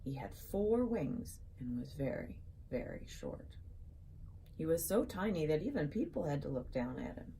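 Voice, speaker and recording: A woman with a notably deep, mellow voice shares a children's book as its author. She talks to young listeners in an expressive storybook way, mixing reading with casual remarks. A faint layer of noise sits behind her voice. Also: moderate pace. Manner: animated storybook delivery, addressing young listeners.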